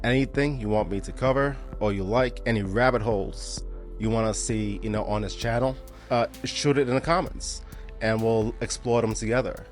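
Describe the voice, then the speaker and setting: A man hosting a reaction podcast, talking to his viewers in a relaxed, friendly way. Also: mid-range voice, moderate pace, faint music behind. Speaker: podcast host. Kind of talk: casual channel sign-off.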